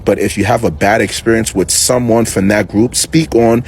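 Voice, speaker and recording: A man's voice: on the low side, fairly even intonation, moderate pace, slight background noise. A man born in Liberia and raised in New York City, giving earnest commentary to camera, repeating himself and pleading. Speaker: reaction video host.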